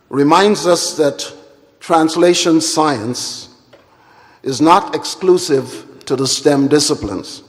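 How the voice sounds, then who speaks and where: A man from Guyana, giving a formal and deliberate plenary address at a conference. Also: on the high side. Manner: measured, deliberate and formal, with long pauses. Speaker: conference speaker. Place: large conference hall. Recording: hall reverb.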